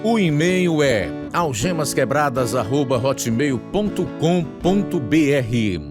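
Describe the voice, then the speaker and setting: A man's voice: fairly high pitch, expressive, takes it slowly. A man with a Brazilian accent hosting and announcing a radio program with scripted narration. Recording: guitar music under the voice.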